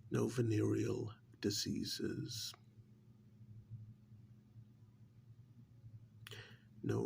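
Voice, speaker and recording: A man playing a sly, menacing interrogator in a soft-spoken ASMR role-play, half whispering with insinuating, drawn-out pauses and mock admiration. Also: medium pitch, exaggerated voice. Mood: accusing, sly. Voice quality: breathy whisper mixed with gravelly creak. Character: sly, menacing male interrogator taunting a mad scientist.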